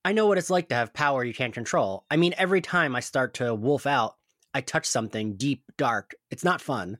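A performer reading a caring, low-key young male werewolf boyfriend, plain-spoken, in a script table read. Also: lively intonation, fairly quick, fairly bright tone, dry recording, clean studio sound, lightly acted. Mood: concerned.

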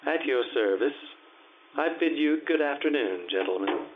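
An actor playing a grave, guarded family physician with a formal, measured manner, in old-time radio drama style. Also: male voice, mid-range voice, lively intonation, natural voice. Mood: solemn. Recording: tinny narrow-band radio sound, faint hiss.

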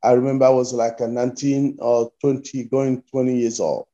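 A man in his fifties with a Congolese accent, a pastor answering interview questions haltingly and repeating his phrases as he goes. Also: medium pitch, flat delivery, warm tone, lo-fi sound. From Democratic Republic of Congo. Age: 50s. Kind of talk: interview answers, recounting life story.

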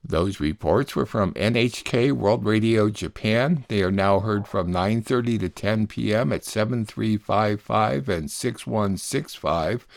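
A man's voice: on the low side, gravelly, dry recording, clean studio sound. A man hosting a radio show, reading scripted announcements between news reports.

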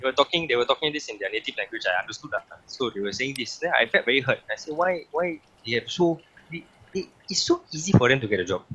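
Singaporean man, impassioned, repeats points, bright tense voice, lo-fi recording. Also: mid-range voice, expressive, quick pace, breathy edge. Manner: impassioned, opinionated, repeats himself. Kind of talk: casual podcast chat.

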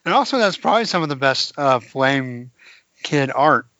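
A man co-hosting a podcast, chatting casually about comics, animated and laughing often. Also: mid-range voice, moderate pace, no room sound, highs cut off. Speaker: podcast co-host.

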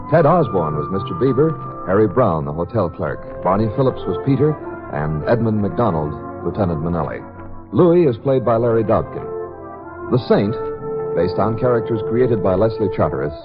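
A man working as a radio announcer, reading scripted copy in 1950s broadcast style. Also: fairly low pitch. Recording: dull old 1950s radio recording. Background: music.

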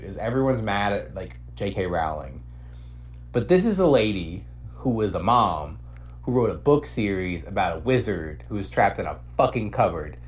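A man hosting a podcast in casual comedic chat, speaking in a profane, slangy, opinionated way. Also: medium pitch. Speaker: podcast host. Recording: clearly noisy, humming background.

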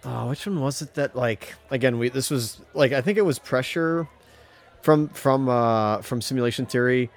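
Casual male podcast co-host, medium pitch, opinionated ranter, clean-mouthed exclamations, loose conversational delivery. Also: moderate pace. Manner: ranting, opinionated, mild substitute swearing. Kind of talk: casual music discussion.

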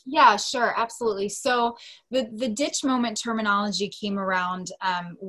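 Expressive American woman in her thirties. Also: medium pitch, moderate pace. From Delaware, United States. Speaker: podcast guest, teacher and coach. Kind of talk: casual personal storytelling in an interview.

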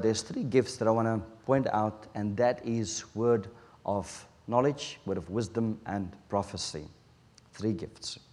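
A man from South Africa with an Afrikaans accent, whose first language is Afrikaans, preaching a sermon in an earnest, halting way with restarts. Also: on the low side, darkish tone, in a large hall.

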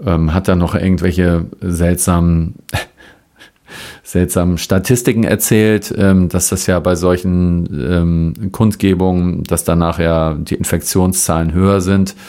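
A man with a low-pitched voice talks casually as an interviewer and reporter. He rambles along conversationally, with a dry, ironic edge to his remarks. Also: flat delivery, measured pace, warm tone.

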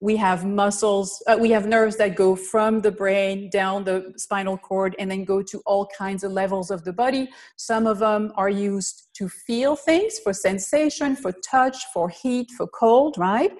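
A woman's voice: mid-range voice, fairly even intonation, measured pace, hardly any pauses, darkish tone. A woman from Belgium, a physical therapist giving an online presentation in an earnest, explanatory way over a video call. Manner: earnest, explanatory, lists things step by step. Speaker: physical therapist and riding instructor presenting a webinar.

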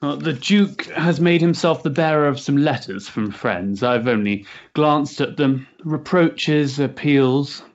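Period drama: actor as restless young gentleman politician, polite halting delivery, lightish pitch, dull recording. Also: animated. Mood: bitter, mocking. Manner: hesitant, polite, mannered upper-class diction. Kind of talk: period stage-play acting.

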